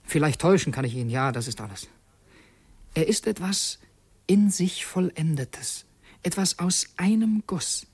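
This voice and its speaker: A torn secretive plotter in a radio drama, husky breathy voice, kinda high, very dry sound, intense inner monologue. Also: male voice, animated, darkish tone, no echo, heavily acted. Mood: conflicted, musing. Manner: intense, introspective, halting self-questioning. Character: brooding young male conspirator and would-be assassin, torn and secretive.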